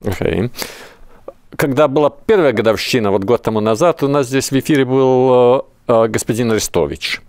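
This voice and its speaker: A man's voice: mid-range voice, moderate pace, a little mellow, no echo. A man hosting a radio interview from a studio on a headset mic, asking questions in a hesitant, searching way. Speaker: radio host.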